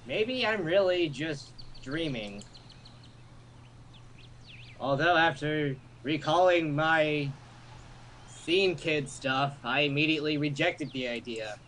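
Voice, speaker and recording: A man's voice: a little high, slow pace, pauses often, a bit bright, a little hiss or hum. A young man giving casual, wisecracking commentary while sharing the reading of a visual novel. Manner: wisecracking, interjecting. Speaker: let's-play co-host. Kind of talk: joking commentary and casual read-aloud.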